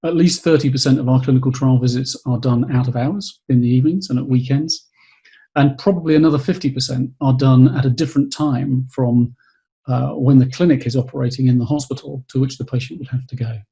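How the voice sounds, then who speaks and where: A man with a gravelly, husky voice and a mellow, darker tone. He explains his company's work in a measured way, pausing often and repeating words. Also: mid-range voice, steady tone, poor audio. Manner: measured, with frequent hesitations and repeats. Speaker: company executive. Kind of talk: webcast pitch.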